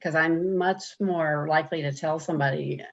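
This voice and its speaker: A woman with a deep, gravelly voice answers interview questions in an earnest way. She often stops and restarts her sentences. The sound is a little dull and muffled, as on a video call. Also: steady tone, normal speed. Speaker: program participant.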